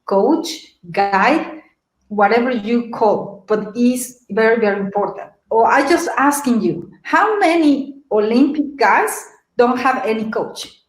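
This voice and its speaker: A middle-aged woman with a Mexican Spanish accent tells her story in a motivational webinar, lively and animated, turning to her listeners with questions. Her voice sits fairly high. It comes over a video call from an echoey room. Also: slow. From Mexico City. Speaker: webinar presenter.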